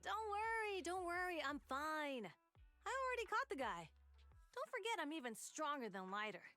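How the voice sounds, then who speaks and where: A high-pitched, lively young woman fighter, brash and sure of herself. She speaks plainly and with confidence, over game music. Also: normal speed, no room sound, exaggerated voice. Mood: reassuring, boastful. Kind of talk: video game voice acting.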